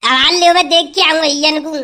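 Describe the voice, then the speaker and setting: Woman doing a calm-down-sis village in-law, high bright voice, giving advice. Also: fairly quick. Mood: consoling. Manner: consoling, advising. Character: soothing village sister-in-law, sensible. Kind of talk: comic sketch acting.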